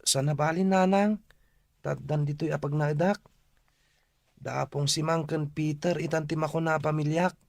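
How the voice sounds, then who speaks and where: A man's voice: a little high, steady tone, moderate pace, gravelly, pauses often, dry recording, studio quality. A man playing an earnest, respectful young boy in an Ilocano radio drama. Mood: reassuring, earnest.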